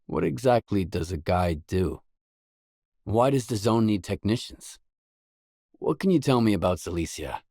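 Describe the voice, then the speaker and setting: A man voicing a gruff, laconic video game mercenary who speaks in a guarded, matter-of-fact way. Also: lowish voice, very animated, a little slow, pauses often, mellow, dry recording, studio quality, heavily acted. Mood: guarded, conciliatory. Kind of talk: video game dialogue lines.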